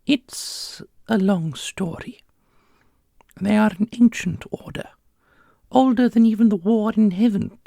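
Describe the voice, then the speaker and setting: A woman's voice: mid-range voice, takes it slowly, vocal fry, mellow, no room sound, studio quality. A woman playing a composed, knowing sage in a fantasy audio roleplay, with formal and theatrical delivery. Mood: knowing, grave.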